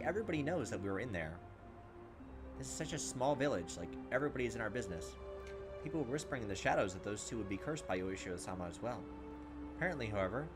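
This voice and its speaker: A young man hosting a gaming video, reading game text aloud and adding casual commentary. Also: on the low side.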